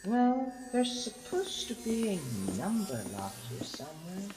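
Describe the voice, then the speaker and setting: Silky scheming sci-fi villain, grand and condescending, voice swoops everywhere, noisy background. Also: male voice, high-pitched, huge pitch swings, unhurried, reverberant, heavily acted. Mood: grandiose, smug. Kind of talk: fan audio drama acting.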